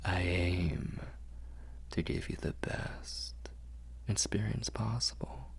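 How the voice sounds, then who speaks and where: Man voicing a slow, very deep, possessive vampire lord. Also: steady tone, slightly rough, heavily acted. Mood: hungry, coaxing. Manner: slow, murmuring, drifting into whispers, formal and courtly. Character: possessive, doting male vampire lord, ancient and hungry. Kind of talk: ASMR roleplay, intimate dramatic monologue.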